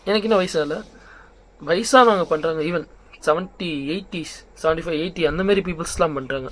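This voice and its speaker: Higher-voiced young man giving advice. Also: fairly quick. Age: young adult. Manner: conversational, checks in with rhetorical questions. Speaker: vlog host. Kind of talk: informal motivational advice to camera, mixing English words and phrases.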